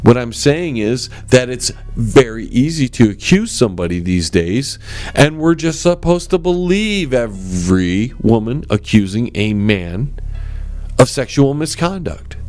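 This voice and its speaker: A man hosting his own talk show, with a strong voice, delivering a forceful, animated opinion monologue straight to his listeners. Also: a little slow, no echo, faint music behind. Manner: animated and forceful, swings up in pitch; addresses listeners as ladies and gentlemen.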